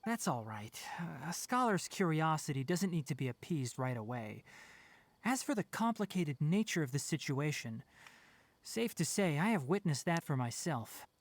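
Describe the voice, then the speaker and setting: A woman voicing a composed young male scholar in an anime video game, measured, articulate and thoughtful. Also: low voice, sing-song, no room sound. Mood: calm, measured.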